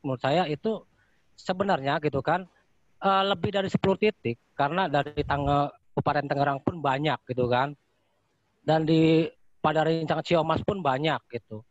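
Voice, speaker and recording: A man, his voice fairly high, adds his view in an informal, halting way. He mixes in Javanese courtesy phrases. The call audio is dry and a little muffled. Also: dry recording. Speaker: audience participant asking a question. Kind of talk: casual online discussion.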